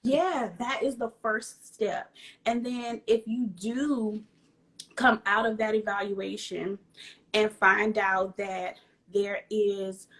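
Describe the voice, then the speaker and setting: A woman's voice: mid-range voice, steady tone, slow pace, a bit bright, poor audio. A woman, a speech pathologist guest, explaining things to parents in a reassuring way. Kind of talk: casual conversation.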